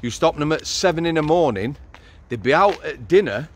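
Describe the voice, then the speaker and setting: A man's voice: a little high, lively intonation, normal speed. Middle-aged man, blunt interviewer, handheld mic, outdoors at night, faint traffic behind. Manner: blunt, prompting questions. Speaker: host interviewing a former prisoner. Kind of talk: walk-and-talk interview. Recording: faint street noise outdoors.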